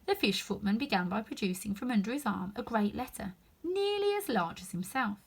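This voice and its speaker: A woman reading a children's story aloud in an expressive narrating style. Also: a little high, fairly quick, vocal fry, husky. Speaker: storybook reader.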